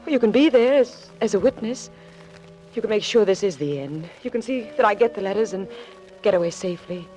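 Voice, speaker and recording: A woman's voice: medium pitch, lively intonation, fairly quick, dark tone, lightly acted. An actress playing a cool, secretive and anxious woman in trouble in a 1940s radio drama. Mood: tense. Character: anxious, cool, secretive woman being blackmailed, pleading for help. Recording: dull vintage 1940s radio recording, faint music.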